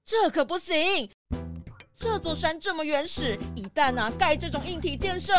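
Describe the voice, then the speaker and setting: A caring, bossy chameleon guide played by a woman speaks in a high voice over clear background music. The delivery is admonishing and instructive, as in a children's mystery drama. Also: animated, normal speed. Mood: earnest objection. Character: chameleon tour leader, bossy but caring, nature-minded.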